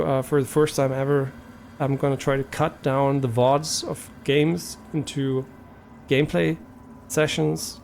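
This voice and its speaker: A man's voice: on the high side, darkish tone, slight background noise. A man from Germany, a native German speaker with a German accent, chatting casually and a bit hesitantly to viewers as a live streamer, thinking aloud as he goes.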